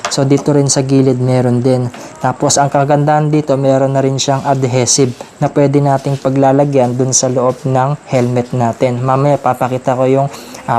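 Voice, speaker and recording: A man's voice: mid-range voice, dark tone, a little hiss or hum. A man with a Filipino accent giving a casual, explanatory product review, addressing viewers informally as a vlogger. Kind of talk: casual unboxing and review talk.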